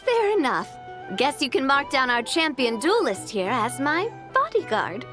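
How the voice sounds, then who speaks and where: A woman's voice: high voice, big pitch swings, unhurried. A woman voicing a confident, friendly young gang boss in a video game, playful and nonchalant. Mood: breezy, confident. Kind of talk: video game character acting. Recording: game music behind.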